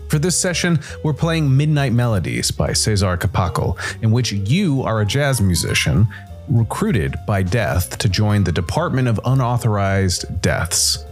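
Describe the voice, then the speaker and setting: A man's voice: lowish voice, sing-song, a little slow, faint music behind. A man giving a scripted introduction as the host of a solo tabletop game story podcast.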